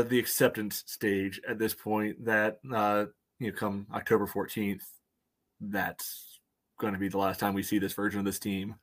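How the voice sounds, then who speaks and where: A man's voice: on the low side, a bit bright. A man co-hosting a sports fan podcast, chatting casually in a wry, frank, hesitant way. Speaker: co-host of a soccer fan podcast.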